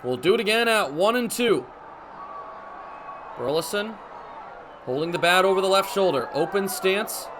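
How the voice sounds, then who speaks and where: A young man calling baseball play-by-play for radio in crisp, descriptive phrases, as live sports commentary. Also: sits high, normal speed, pauses often, slight background noise. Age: young adult. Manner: crisp, descriptive phrases with excited calls on big plays. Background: ballpark ambience.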